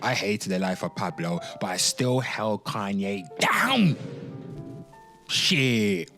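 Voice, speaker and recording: A man's voice: on the low side, sing-song, sharp tone, soft background music. A man hosting a commentary show, mixing dramatic reading with informal, sweary ranting, drawing words out theatrically and addressing listeners directly. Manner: theatrical, drawn-out words and exclamations. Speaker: host of a pop culture commentary show.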